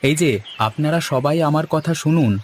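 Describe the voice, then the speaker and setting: A man voicing a sociable, courteous village organizer in an animated cartoon. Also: a little high, a little slow, slight background noise, lightly acted. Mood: brisk, directing.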